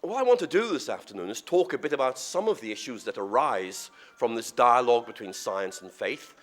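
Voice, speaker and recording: A middle-aged man giving a public lecture, his voice husky and sore from a cold, speaking in a conversational, wry way. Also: medium pitch, expressive, fairly quick, a bit bright. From Northern Ireland.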